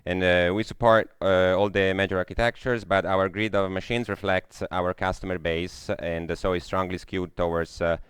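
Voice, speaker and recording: Italian man, deep voice, hesitant technical talk. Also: flat delivery, moderate pace, few pauses, fairly bright tone, clean tone, no echo, studio quality. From Italy. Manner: hesitant, many fillers and restarts. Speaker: conference speaker. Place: lecture room.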